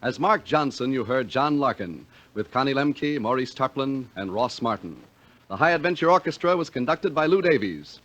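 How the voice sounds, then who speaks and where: A man's voice: mid-range voice, lively intonation, moderate pace, a bit bright. A man reading scripted 1950s radio announcements in a formal, polished way. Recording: dull lo-fi vintage radio broadcast.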